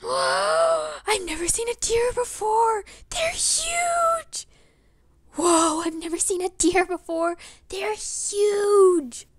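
A woman's voice: very high voice, expressive, very slow, no echo, studio quality, pitched above the performer's own voice, full character voice. A woman voicing a small, wide-eyed animal pup, eager and gasping with wonder, for a comic dub. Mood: excited, amazed.

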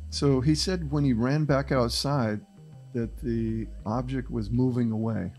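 A middle-aged man hosting a podcast, calmly walking a co-host through old photos step by step on a studio mic. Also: medium pitch, unhurried, soft background music. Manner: explains step by step, repeats phrases. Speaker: podcast host presenting a case. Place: small studio with red backdrop. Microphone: studio mic on boom arm, headphones.

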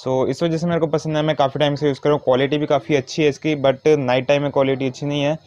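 A man's voice: fairly high pitch, flat delivery, quick pace, darkish tone, no echo. A man giving an informal hands-on tutorial step by step, mixing in English words. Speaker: motovlogger giving a how-to demonstration. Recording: dull, muffled lo-fi sound.

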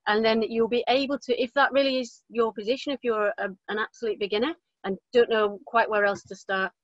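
A woman's voice: fairly high pitch, fairly even intonation, brisk, a bit bright, no room sound. A woman in her forties giving an informal introductory explanation as a speaker at an online event. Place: small indoor space with curtains. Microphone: computer mic on a video call. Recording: dull, lo-fi video call audio.

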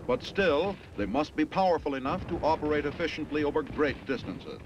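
American man, higher-pitched voice, 1950s military film narration, formal and emphatic, dull vintage soundtrack. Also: noisy background. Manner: formal, emphatic, measured phrasing.

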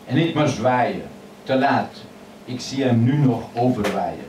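A man's voice: medium pitch, distant mic, noisy background. Man reciting poems in an echoey café. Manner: measured, expressive recitation. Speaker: poet reading his poems. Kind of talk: poetry reading. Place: crowded old café, indoors. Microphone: stand mic, filmed from across the room. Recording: echoey café filmed from afar, loud chatter.

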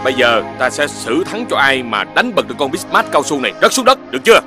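Cartoon animal elder, kindly peacemaker, instructive, quick and animated,. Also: male voice, medium pitch, soft background music. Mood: firm. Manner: instructive, reasoning with questions. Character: kindly adult animal elder settling children's quarrels. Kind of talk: cartoon dubbing.

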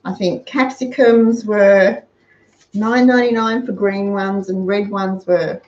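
A woman's voice: medium pitch, fairly even intonation, slow, a little mellow. An older woman with an Australian accent, chatting through a cooking demonstration in her home kitchen and sharing practical money-saving tips. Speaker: host of a frugal cooking channel. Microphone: fixed camera across the counter. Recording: roomy, dull lo-fi sound.

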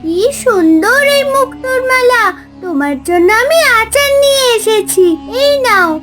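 A woman's voice: extremely high pitch, lively intonation, measured pace, over loud music. A woman playing a sweet, anxious village girl in a cartoon fairy tale, with a girlish, plaintive manner. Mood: eager, sweet.

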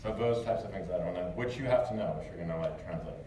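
A man's voice: low-pitched, quick pace, dark tone. A man from the United States answering audience questions after a lecture, loose and chatty, with lots of fillers and restarts. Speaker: lecturer and translator. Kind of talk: casual Q&A after a talk. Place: lecture hall. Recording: echoey hall, distant mic, noisy.

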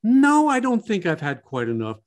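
A man's voice: high voice, huge pitch swings, normal speed. A man co-hosting a podcast in a casual, folksy way, repeating short phrases for emphasis. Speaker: podcast co-host. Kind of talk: casual, conversational discussion.

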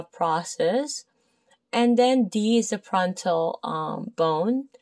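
A woman's voice: medium pitch, a little mellow, no room sound. Filipino woman tutoring, dry close sound. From Philippines. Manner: hesitant, many fillers and self-corrections. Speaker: massage therapy tutor. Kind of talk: casual study walkthrough of a textbook page. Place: indoors at a desk. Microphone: phone camera overhead, speaker off screen.

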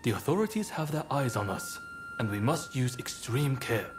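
A male actor as a young samurai rebel leader from a video game, polite and earnest. His pitch moves in lively swings while his phrasing stays measured and composed, with some ambient noise behind him. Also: lightly acted. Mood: urgent, businesslike. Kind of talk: video game cutscene acting.

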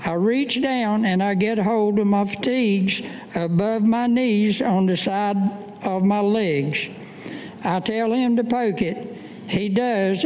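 An older man, a veteran, giving a staged reading of a war memoir in a halting, line-by-line manner. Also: high-pitched, darkish tone. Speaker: Vietnam veteran reading his own work. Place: indoor meeting room, at a podium. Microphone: gooseneck podium microphone. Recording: echoey room, clear background noise.

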